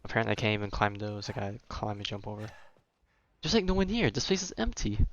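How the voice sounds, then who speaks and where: A man's voice: fairly low pitch, sing-song, fast, muffled recording. A man giving casual, laid-back gameplay commentary as a streamer, with slangy phrasing. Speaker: game streamer.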